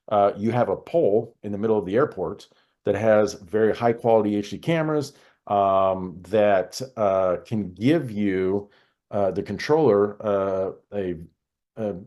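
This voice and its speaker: An American man explaining things conversationally as an expert interview guest, in a halting way with frequent pauses and repetitions. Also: fairly low pitch, warm tone. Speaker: podcast guest, air traffic control expert.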